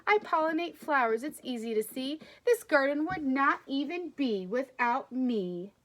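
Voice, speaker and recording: A woman's voice: high-pitched, sing-song, fairly bright tone, full character voice. A woman doing a scolding, buzzy honeybee. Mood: scornful. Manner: brisk, scolding. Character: buzzing, scornful honeybee.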